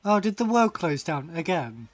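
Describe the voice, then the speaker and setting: A man's voice: a little high, big pitch swings, normal speed, no echo. A man chatting casually on a game stream, rambling, laughing often and reading chat aloud. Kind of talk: casual live-stream chat while gaming.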